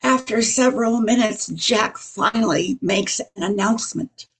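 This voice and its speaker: A woman's voice: mid-range voice, measured pace, a little hiss or hum, poor audio. A woman reading scripted narration for an old-time radio drama, with the role of narrator.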